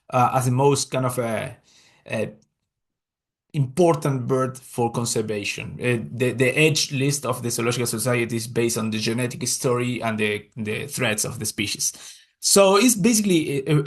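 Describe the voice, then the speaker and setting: A man with an Argentine Spanish accent, giving a webinar talk over a video call in English, his second language, with many fillers and restarts. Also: mid-range voice. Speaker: guest speaker, conservation scientist. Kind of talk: webinar presentation. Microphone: computer mic on a video call.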